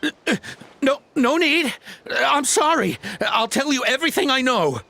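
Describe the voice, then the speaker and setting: A man's voice: sits high, a bit bright, slight background noise, heavily acted. A man playing a cowardly, grovelling henchman in a video game, stammering nervously. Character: cowardly male poacher henchman, panicky and grovelling.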